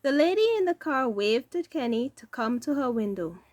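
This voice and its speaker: A woman reading a children's story aloud as narrator, with deliberate, careful phrasing. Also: high-pitched, animated, no room sound. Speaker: story reader.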